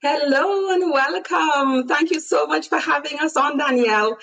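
A woman's voice: sits high, unhurried, vocal fry, a bit bright, dry recording, rough recording. A woman with a foreign accent speaking politely as a panel guest. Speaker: clinical operations director, panel guest. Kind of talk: online panel talk.

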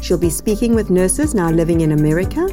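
A woman host giving a formal, measured scripted introduction. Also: fairly low pitch, expressive. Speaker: show host. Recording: intro with loud music underneath.

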